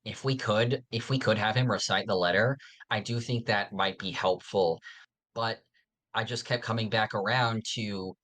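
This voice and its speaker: A man's voice: on the low side, steady tone, moderate pace, fairly bright tone. A man co-hosting a podcast in casual discussion, thoughtfully explaining his reasoning. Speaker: podcast co-host.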